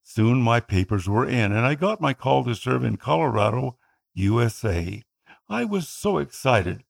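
Man, Tagalog speaker, slightly gravelly, earnest narrator for a scripted personal story. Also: medium pitch. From Philippines. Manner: earnest, measured reading.

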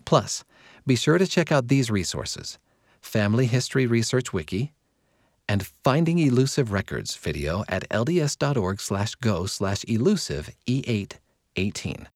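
A man narrating a magazine article from a script in a measured, clearly phrased read-aloud delivery. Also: medium pitch, big pitch swings, dry recording, studio quality.

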